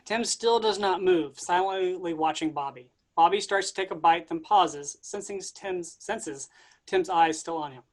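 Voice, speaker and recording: A man reading stage directions aloud in a staged play reading, steady and plain. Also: high voice, a little slow.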